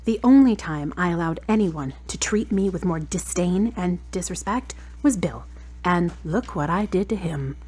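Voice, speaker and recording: A woman acting as a proud, wounded girlfriend in an audio drama. Her husky, slightly low voice is cool and pointed, with a cutting edge to the words. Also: expressive, normal speed, a little mellow, rough recording. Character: proud, hurt girlfriend demanding a proper apology.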